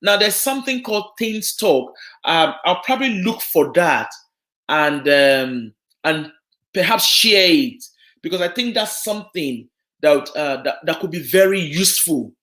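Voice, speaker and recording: A woman's voice: lowish voice, lively intonation, measured pace, fairly bright tone. A woman from Nigeria with a Yoruba accent, giving an earnest, explanatory live broadcast talk on social work, full of fillers and restarts. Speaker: social work guest speaker.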